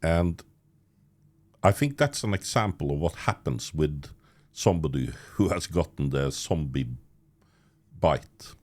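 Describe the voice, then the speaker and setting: Very deep-voiced man, halting. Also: expressive, a little mellow. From Norway. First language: Norwegian. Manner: halting, many restarts, chuckles mid-sentence. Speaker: podcast host. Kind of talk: conversational interview.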